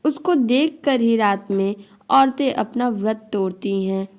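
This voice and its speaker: A woman's voice: a little high, unhurried, a little mellow. A woman narrating a scripted moral story for children.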